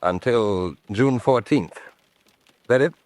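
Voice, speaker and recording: A man's voice: mid-range voice, takes it slowly, a little mellow, no echo, natural voice. Actor as an earnest, urgent fugitive man. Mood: guarded. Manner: polite, urgent. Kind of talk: radio drama acting.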